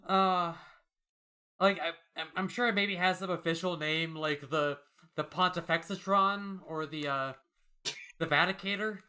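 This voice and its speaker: A man's voice: high-pitched, unhurried, sharp tone. A man hosting a podcast, chatting casually and reading out listener mail in a wry, jokey way. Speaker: podcast host.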